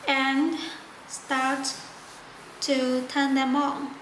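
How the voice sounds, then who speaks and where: A woman narrating a step-by-step product tutorial in halting, simple non-native phrasing. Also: high voice, level tone. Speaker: product demonstrator. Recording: echoey room with loud background noise.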